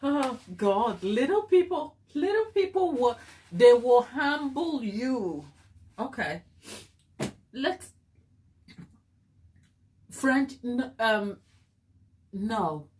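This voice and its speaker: A woman's voice: fairly high pitch, big pitch swings, drawn-out words, pauses often, a little mellow, poor audio. A woman with a Ghanaian accent hosting a cake decorating livestream, chatting casually with her viewers and calling them "my people". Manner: chatty, addresses viewers as "my people", mixes in Twi.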